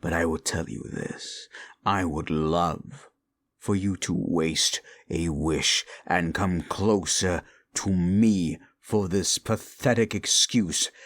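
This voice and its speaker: A man's voice: no room sound, full character voice. A man voicing a scornful male demon in an audio roleplay, taunting and mocking the listener with a profane tongue. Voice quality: deep and gravelly.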